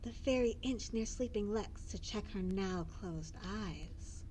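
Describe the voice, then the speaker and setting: Storytime reader: woman, expressive children's narration, unhurried and fairly high, noisy background. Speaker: children's librarian reading at story time.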